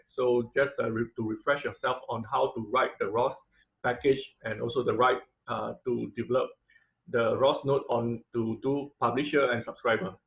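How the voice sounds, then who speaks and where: Male instructor, video-call lecture, halting delivery, echoey room. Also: medium pitch, creaky, a bit bright. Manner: hesitant, many uh and um fillers. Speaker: online class instructor. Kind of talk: online lecture over slides.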